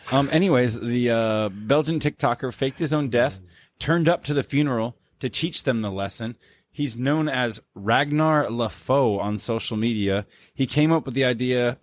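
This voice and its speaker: A man's voice: mid-range voice, no echo. A man co-hosting a podcast in casual banter, laid-back and profane, with lots of filler words. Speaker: podcast co-host.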